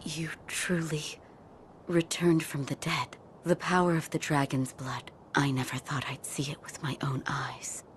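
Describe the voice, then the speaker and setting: An actress playing a calm, devoted doctor of feudal Japan in a video game, reserved and courteous. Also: female voice, sits low, unhurried, slight background noise. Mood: reserved, solemn. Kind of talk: video game cutscene acting.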